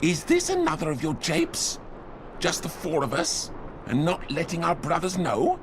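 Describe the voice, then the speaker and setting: An actor playing a sly, argumentative, whining soldier in a dramatic fantasy video game. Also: male voice, a little high, huge pitch swings, moderate pace, noisy background. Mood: exasperated. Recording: music behind the voice.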